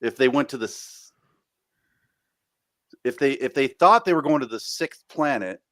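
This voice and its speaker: A man's voice: mid-range voice, lively intonation, moderate pace, fairly bright tone, no room sound, clean studio sound. A man co-hosting a casual podcast, chatting about plot details in a quizzical, jokey way. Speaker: podcast host. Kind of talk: casual chat.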